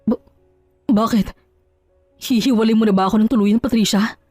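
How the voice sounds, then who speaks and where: A woman voicing an ashamed, remorseful husband in an audio drama, pleading and apologetic with halting words. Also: on the high side, steady tone, a little mellow, no echo, soft background music, natural voice. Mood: pleading, dismayed. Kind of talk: Tagalog audio drama acting.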